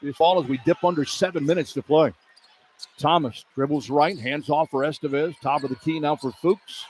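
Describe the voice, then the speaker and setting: A man's voice: on the high side, normal speed, poor audio. A man doing live radio play-by-play of a basketball game in short, clipped phrases as the announcer. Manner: clipped phrases, animated on big plays. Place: indoor college basketball arena. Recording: live arena broadcast with background noise. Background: arena ambience.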